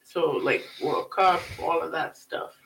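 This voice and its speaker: High bright-voiced man hosting a podcast. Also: slow pace, vocal fry, slight background noise, poor audio. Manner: teasing, asks questions. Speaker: podcast host. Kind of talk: casual podcast chat.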